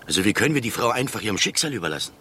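An actor playing an earnest, anxious man who tells a detective tale in a measured, articulate way for a radio drama. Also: lowish voice, big pitch swings, bright, forceful tone, a little hiss or hum. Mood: worried, doubtful. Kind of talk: radio drama narration, literary storytelling.

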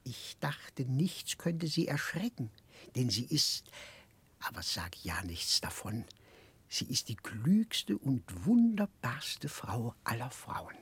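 A man in a radio play voicing a muddled, childlike, secretive gentleman in a hushed, breathy voice, halting and correcting himself. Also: mid-range voice, very animated, heavily acted. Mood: secretive, awed. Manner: halting, confused, conspiratorial, self-correcting. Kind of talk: 1960s German radio play acting.

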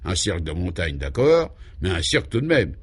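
Man playing obliging male guide, low voice, colloquial, deadpan, absurd radio serial. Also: fairly quick, husky, exaggerated voice. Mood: matter-of-fact.

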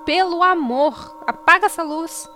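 Exasperated homeowner woman actor, audio drama, high-pitched and unhurried, with music underneath. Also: bright, forceful tone, heavily acted. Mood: impatient. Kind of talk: amateur audio drama acting.

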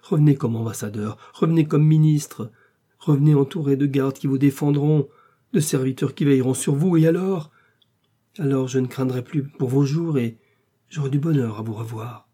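A man's voice: mid-range voice, moderate pace, dark tone, slightly rough, lightly acted. A man voicing an anguished, dignified queen torn between duty and love, formal and imploring, in a novel reading.

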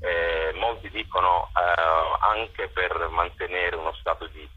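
A man's voice: low-pitched, fairly even intonation, slow, pushed and bright, lo-fi sound. A man organizing cultural events, answers interview questions, hesitant and full of fillers.

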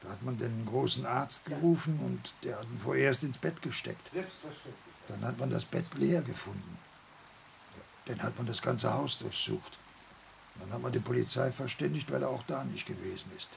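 Very gravelly, simple messenger. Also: male voice, medium pitch, normal speed. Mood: earnest, troubled. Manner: simple, step-by-step retelling. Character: plain-spoken, excited male messenger reporting news. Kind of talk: German radio play acting. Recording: noisy old radio recording.

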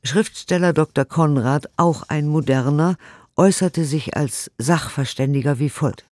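A man with a slightly high voice reads historical reports in a formal, declamatory way. The sound is close and very dry, as in a radio drama studio. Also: measured pace, darkish tone, no echo. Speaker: radio play reader. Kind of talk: scripted dramatic reading of historical press and court reports. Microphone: close studio microphone.

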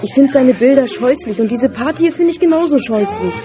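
High-voiced, impatient young woman. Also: lively intonation, fairly quick. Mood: annoyed. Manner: disdainful, impatient. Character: annoyed young woman, reluctant artist's muse. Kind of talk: German audio drama acting. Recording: dull sound with party music.

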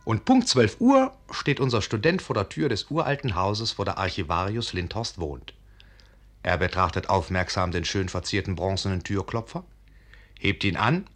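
A man's voice: lowish voice, a bit bright. A man playing a wry, genial fairy-tale narrator in a radio production, telling the story with knowing irony. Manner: ironic, knowing. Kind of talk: theatrical scripted narration.

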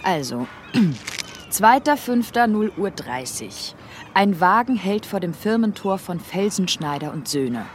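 Lively, blunt policewoman. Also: medium pitch, slow, slight background noise. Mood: probing, dry. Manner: blunt, provocative, dry retorts. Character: brisk, nosy, unflappable female police investigator. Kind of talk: radio drama acting.

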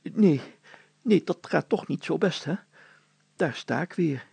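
A man voicing a fussy, bumbling older mayor in a children's story, flustered and self-pitying, hemming, hawing and clearing his throat. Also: on the high side, lively intonation, slow pace, warm tone, no echo, voice pushed up, exaggerated voice. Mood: sheepish, resigned.

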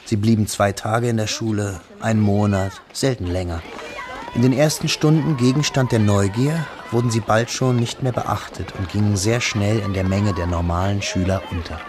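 An actor narrating a literary radio drama as a reflective, wistful man looking back on his schooldays, measured and solemn. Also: lowish voice, noisy background, lightly acted.

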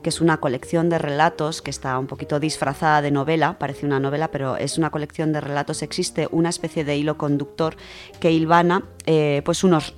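A woman with an accent from Spain, speaking enthusiastically as a radio contributor in a conversational book segment. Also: deep voice. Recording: music under the voice. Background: background music.

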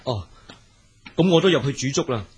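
An actor playing a worried, deferential junior monk with a Cantonese accent in an audio drama. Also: male voice, medium pitch, lively intonation, normal speed, slight background noise, natural voice. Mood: dutiful. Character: worried junior monk, respectful to his master.

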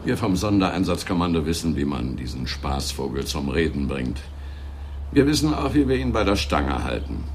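An actor playing a menacing, sneering secret police officer and racist bully in a German radio drama, mocking and given to barking orders. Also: male voice, extremely low pitch, big pitch swings, moderate pace, a little hiss or hum, full character voice. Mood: cold, confident. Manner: mocking, sneering, barks orders when angered.

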